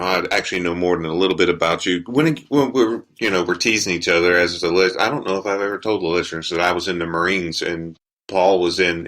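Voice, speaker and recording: A man's voice: sits low, a bit bright. A man hosting a podcast interview in a casual, conversational style.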